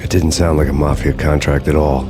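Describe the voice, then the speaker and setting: An actor playing a world-weary, framed man, narrating a noir video game in a brooding, deadpan, sardonic way. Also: very deep voice, brisk, music in the background. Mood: grim, brooding.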